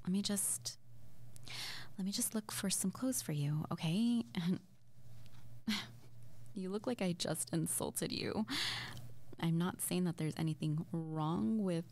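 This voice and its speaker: Small flirty witch played by a woman, intimate roleplay audio. Lowish, creaky, breathy, stammering and awkward. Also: darkish tone. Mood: soft, apologetic, flirty. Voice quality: breathy, often dropping to near-whisper. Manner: hesitant, stammering, giggly.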